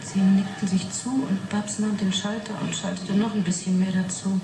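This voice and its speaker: An actress playing a matter-of-fact yet fascinated witness in a German radio play, halting and reflective. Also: female voice, mid-range voice, flat delivery, close to an everyday voice. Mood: intent, fascinated. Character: young woman witness recounting an uncanny experiment, matter-of-fact yet fascinated. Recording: roomy 1980s radio play, noisy background.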